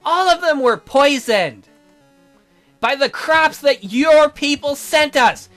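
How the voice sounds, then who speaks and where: A woman's voice: sits high, expressive, slow, a bit bright, soft background music, voice pushed up, heavily acted. A woman voicing a proud, troubled noble lady in a visual novel read-through, with solemn, declarative delivery. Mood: vengeful.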